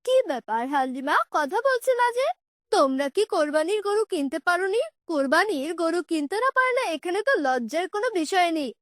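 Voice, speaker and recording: A woman's voice: very high voice, lively intonation, normal speed, no echo, studio quality. A woman voicing a polite, caring boy in a children's cartoon, friendly and full of questions. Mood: curious, caring.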